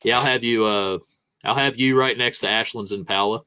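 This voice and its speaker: A man's voice: lowish voice, steady tone, brisk, bright, forceful tone, clear, resonant tone, dry recording. A man hosting a car audio podcast, joking around and plugging his show in casual chat. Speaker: podcast host.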